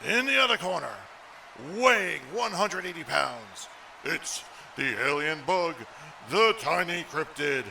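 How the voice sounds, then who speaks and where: Cartoon ring announcer played by a man: high, gravelly, grandly drawn out, over clear background noise. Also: lively intonation, unhurried, pushed and bright, full character voice. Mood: grandiose. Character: showy male wrestling ring announcer, grandiose. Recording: noisy, with background ambience.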